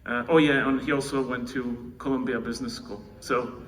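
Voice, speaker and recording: A man's voice: mid-range voice, steady tone, normal speed. A man from the Czech Republic with a Czech accent, giving an informal introductory speech at a conference. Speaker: conference speaker introducing co-founder. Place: indoor conference room. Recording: distant mic, faint noise, room ambience.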